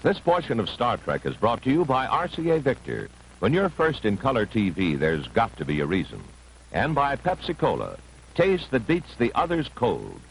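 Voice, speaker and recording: Animated vintage male sponsor announcer. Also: medium pitch, normal speed, vocal fry. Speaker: commercial announcer. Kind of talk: 1960s television sponsor announcement. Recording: noisy vintage broadcast recording.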